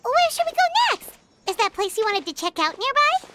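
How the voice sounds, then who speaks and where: A woman voicing a tiny, excitable fairy girl companion in an anime-style game, childlike and chatty. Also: very high-pitched, moderate pace, very noisy. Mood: curious. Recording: loud music over the voice.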